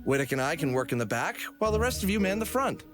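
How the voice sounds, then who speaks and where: A man's voice: on the high side, very animated, fast, music in the background. A man playing an earnest, upbeat, scheming leader of adventurers in a comedy audio drama. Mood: excited.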